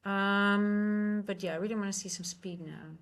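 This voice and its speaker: A woman with a Dutch accent, a native Dutch speaker, hosting a live trading stream, thinking aloud in halting, casual commentary. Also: mid-range voice, fairly even intonation. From Netherlands.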